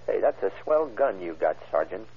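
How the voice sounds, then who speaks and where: An actor playing a tough, sly bandit with curt, slangy talk in a 1940s style radio crime drama. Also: male voice, fairly low pitch, big pitch swings, moderate pace, breathy edge. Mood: wheedling, sly. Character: tough, sly, defiant male hold-up bandit. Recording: tinny, muffled old radio recording.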